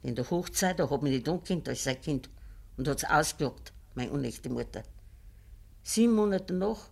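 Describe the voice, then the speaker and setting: A woman's voice: deep voice, lively intonation, normal speed, creaky, darkish tone, slightly rough, full character voice. An actress playing an elderly, blunt and morally firm peasant grandmother in a Bavarian dialect radio drama from the 1950s. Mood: grave storytelling.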